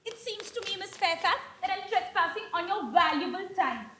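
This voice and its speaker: A young woman playing a sharp-tongued Victorian country girl in a stage play, theatrical and pointedly polite. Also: very high-pitched, animated, moderate pace, exaggerated voice. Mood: coolly cutting. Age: young adult. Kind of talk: amateur stage play performance. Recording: echoey stage, faint noise.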